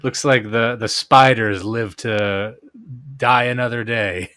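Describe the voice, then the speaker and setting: A man hosts a podcast with a voice of ordinary pitch. The sound is dry and close, and he keeps up a steady run of dry jokes. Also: slow, smooth, no echo. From Canada. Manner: joking, dry humor. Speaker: podcast host. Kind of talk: casual podcast conversation.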